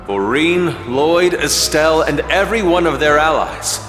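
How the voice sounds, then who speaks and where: An earnest, theatrical hero from a video game. His forceful, slightly high voice makes grandiose declarations that echo widely. He sounds idealistic throughout. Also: expressive, measured pace. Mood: fervent. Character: earnest, theatrical heroic ally. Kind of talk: dramatic video game cutscene acting. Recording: echoey hall reverb, music behind.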